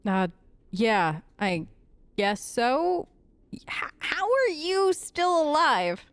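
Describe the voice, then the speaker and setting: A woman's voice: sits high, very animated, extremely slow, no room sound. A woman hosting a role-play game let's play, talking casually in character with a hesitant, playfully sarcastic, conversational manner.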